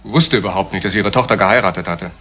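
An actor playing a subdued man who confesses in a grave, halting way in a retro German radio crime play. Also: sits low, pushed and bright, breathy edge, exaggerated voice.

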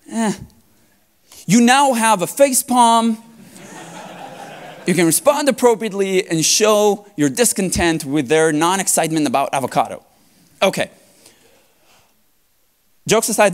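A man in his thirties whose first language is Hebrew gives a joking technical talk from a stage, gesturing as he speaks. His voice sits a little high and swings widely in pitch. He speaks into a headset mic in a large conference hall. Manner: playful, joking, animated with hand gestures.

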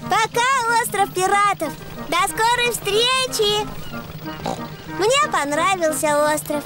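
A woman's voice: very high-pitched, takes it slowly. A woman voicing a cheerful preschool-age girl piglet in a children's cartoon. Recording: loud music behind the voice.

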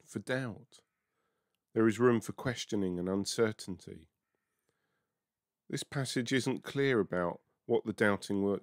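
Man, Baptist minister, lower-pitched voice, scripted sermon, dry sound. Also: no room sound.

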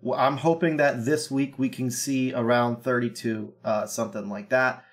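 A man's voice: medium pitch, steady tone, moderate pace. A young man with a slightly scratchy voice from a cold, talking through a casual market analysis in a brash, joking way with lots of ums and uhs, recorded on a webcam in a small room. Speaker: crypto trading analyst.

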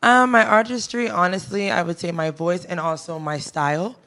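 A woman's voice: on the low side, steady tone, moderate pace, bright, forceful tone. A young woman singer from Ghana, raised in America, casually answering interview questions in a studio with a handheld mic. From Ghana, raised in the United States. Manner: easygoing, many fillers like "you know" and "um". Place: studio with a teal backdrop. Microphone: handheld mic close to the mouth.

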